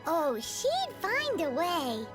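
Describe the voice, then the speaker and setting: An extremely high, squeaky little fairy chirps through video game dialogue. The childlike companion is cheeky and emphatic, with very lively pitch swings, over clearly audible music. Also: female voice, drawn-out words, exaggerated voice. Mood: insistent. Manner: refers to self in third person, emphatic.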